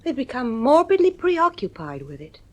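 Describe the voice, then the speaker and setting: A woman's voice: sits high, huge pitch swings, moderate pace, full character voice. An actress playing an anxious, weary wife and mother in a 1950s radio drama. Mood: troubled, intense. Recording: vintage radio drama recording.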